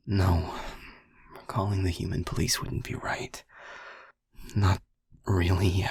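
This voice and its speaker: A gruff, protective man played by a male actor in an audio drama. His voice is deep and very husky, full of breath, and he takes his time over each phrase in a close, dry sound. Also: level tone, darkish tone, no echo, exaggerated voice. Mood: grave, measured. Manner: slow, deliberate, halting phrasing. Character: gruff, protective man calming a frightened friend. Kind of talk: audio roleplay acting.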